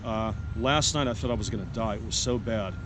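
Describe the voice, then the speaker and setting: A man vlogging outdoors in a city park, his voice congested and stuffy. He speaks frankly and plainly, wandering into asides as he explains. City traffic and outdoor noise come through clearly behind him. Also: on the low side, fairly quick. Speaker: homeless vlogger. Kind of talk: casual vlog monologue.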